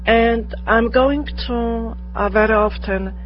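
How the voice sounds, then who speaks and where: Polish-accented woman audience member, high voice, hesitant, muffled room recording. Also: fairly even intonation, slow, soft background music. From Poland. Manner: hesitant and searching for words. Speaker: audience member asking a question. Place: meeting room with a live audience.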